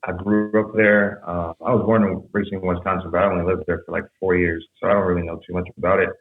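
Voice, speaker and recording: Deep-voiced man on a tinny echoey line. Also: fast, soft background music. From San Jose, California. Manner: relaxed, rambling storytelling with fillers. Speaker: podcast guest. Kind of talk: casual conversation. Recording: tinny phone-like echoey sound.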